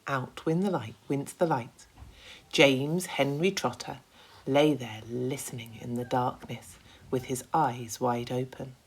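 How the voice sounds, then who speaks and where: A woman reading a children's story aloud with expressive narration, like a primary school teacher. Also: very deep voice, slow, breathy edge.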